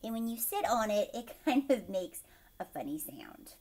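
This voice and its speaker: A woman teacher reading a picture book aloud to children, expressively, pausing to explain and ask them questions. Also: on the high side. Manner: expressive, asks young listeners questions.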